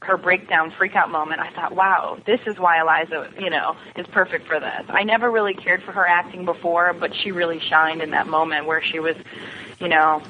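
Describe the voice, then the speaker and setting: A woman's voice: on the low side, steady tone, fairly quick, a little hiss or hum. A woman giving her opinions in a casual, conversational TV discussion as a podcast guest. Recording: dull phone-like lo-fi connection.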